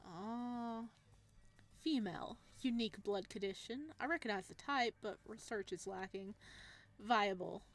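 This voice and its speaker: A woman's voice: measured pace, lightly acted. A woman playing a gleeful, sadistic male living god from a visual novel, speaking in a clinical, mocking way. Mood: clinical appraising. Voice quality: low, slightly raspy as written. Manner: clinical, mocking, savoring words.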